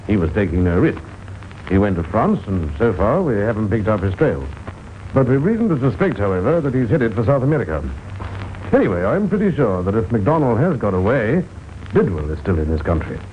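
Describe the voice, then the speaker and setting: An actor playing a brisk, determined police detective in a vintage radio crime drama, clipped and authoritative. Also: male voice, fairly low pitch, very animated. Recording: dull, noisy vintage radio recording.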